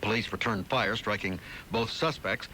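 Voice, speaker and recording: A man's voice: fairly low pitch, moderate pace, pushed and bright. A man reading a scripted local news bulletin on old AM radio. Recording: slightly noisy old AM radio aircheck.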